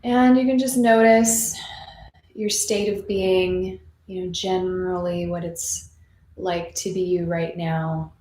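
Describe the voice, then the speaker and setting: Female meditation teacher, soothing and slow-paced, leaving long gaps, recorded in a roomy space. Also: lowish voice, fairly even intonation, a little mellow. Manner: soothing, unhurried, with long pauses. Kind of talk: guided meditation, gently spoken and unscripted. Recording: roomy echo, faint background noise.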